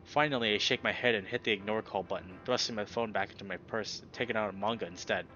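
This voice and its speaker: A man reading a visual novel's story text aloud in expressive narration, occasionally stumbling over words. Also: lowish voice, fast talker, lo-fi sound. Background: music.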